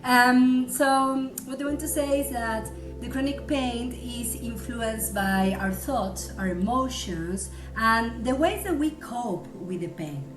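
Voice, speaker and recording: A woman's voice: on the high side, takes it slowly, reverberant, music in the background, poor audio. A woman from Argentina with an Argentine Spanish accent, a psychologist presenting a webinar who often checks in with "yes?" and "right?".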